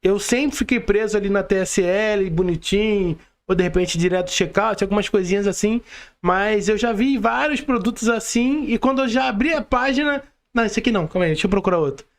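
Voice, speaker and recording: A Brazilian man with a high-pitched voice hosts a casual podcast over a call. He is effusive and informal, often saying things twice for emphasis. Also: brisk. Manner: effusive, informal, repeats himself. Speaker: podcast host. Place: remote video call.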